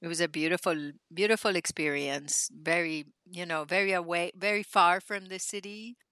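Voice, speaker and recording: A woman in her sixties from Argentina, telling her life story as a podcast guest, animated and full of fillers and restarts. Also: lowish voice, moderate pace, dry recording. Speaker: podcast guest, spiritual teacher. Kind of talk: casual interview storytelling.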